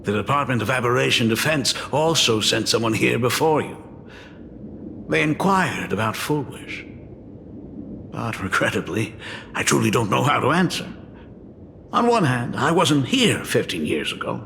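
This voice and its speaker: A gentle, regretful dean. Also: male voice, medium pitch, sing-song, moderate pace, vocal fry, pauses often, a little hiss or hum, heavily acted. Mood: measured. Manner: polite, hesitant, apologetic. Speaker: school dean. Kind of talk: video game voice acting. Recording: reverberant game audio with music.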